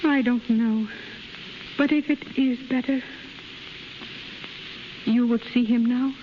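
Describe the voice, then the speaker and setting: An actress playing a gentle, heartbroken young Japanese wife in a 1930s radio drama, speaking slow, halting, simple English with resigned dignity. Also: female voice, on the high side, fairly even intonation, slight background noise. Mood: wounded pride. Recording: muffled 1930s radio recording, music behind.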